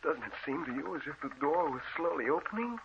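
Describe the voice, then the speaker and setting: A man's voice: a little high, expressive, fast, vocal fry, background noise. An actor playing a distraught bedridden man obsessed with his dead beloved, insistent and melodramatic, in old-time radio horror style. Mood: tense, expectant. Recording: tinny, band-limited old radio recording.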